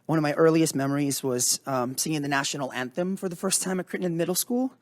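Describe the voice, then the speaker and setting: A man's voice: on the high side, no echo. Earnest man giving formal testimony. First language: Tagalog. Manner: formal, earnest, measured delivery. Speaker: witness before a committee. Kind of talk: prepared testimony. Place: hearing room.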